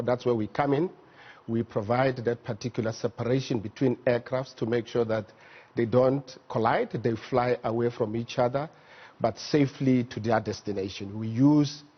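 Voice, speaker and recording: A man with a South African accent explaining things methodically and haltingly as an expert guest in a studio interview. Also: mid-range voice, husky, muffled recording. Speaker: air traffic management executive, interview guest. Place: TV news studio.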